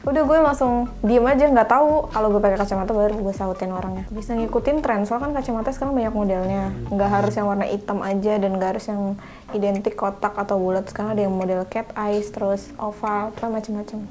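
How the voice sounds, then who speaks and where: A woman's voice: mid-range voice, steady tone, fast, a little mellow. A woman, chatting casually as an interviewed employee. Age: 20s.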